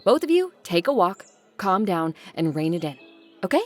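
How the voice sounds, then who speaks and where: A woman's voice: fairly low pitch, sing-song, moderate pace, darkish tone, faint music behind. A woman playing a guarded, blunt adult daughter of a wealthy family in an audio drama, with a dry, curt way of talking. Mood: stern, bossy. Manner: dry, curt, bossy with siblings.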